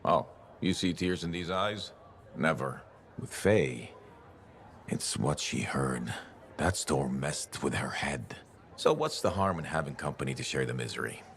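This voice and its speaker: An actor playing a gruff older veteran in a video game, roguish and world-weary, haunted by loss. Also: male voice, extremely low pitch, expressive, unhurried, fairly bright tone, full character voice. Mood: defiant bravado.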